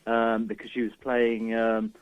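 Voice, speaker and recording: A man's voice: mid-range voice, completely flat delivery, unhurried, clean tone. A man chatting casually as an interview guest, hesitant and rambling in an informal way. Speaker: radio interview guest, musician. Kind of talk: casual chat. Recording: slightly dull, lo-fi sound.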